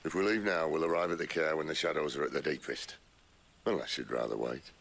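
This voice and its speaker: Middle-aged outlaw boss for fantasy game: rock-bottom gravel voice, wry, gruff, weary. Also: male voice, very deep voice, a little fast, fairly bright tone, full character voice. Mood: dry banter. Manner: wry, dry, colloquial. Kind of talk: video game cutscene acting.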